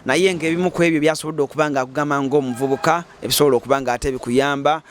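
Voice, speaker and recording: Guy hosting, quick, bit high, teasing. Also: steady tone, a little hiss or hum. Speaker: host. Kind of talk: casual interview, mixing Luganda and English.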